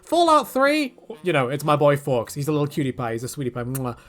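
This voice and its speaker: Animated man rambling through a Q&A. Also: fairly high pitch, fairly quick. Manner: rambling, animated, dry asides. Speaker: YouTube channel host. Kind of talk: casual Q&A monologue.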